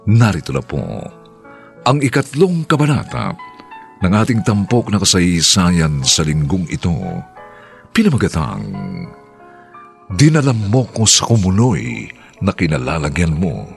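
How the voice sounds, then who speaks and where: A man formally announcing a radio drama in a deliberate, dramatic scripted style. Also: low voice, sing-song, creaky. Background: music.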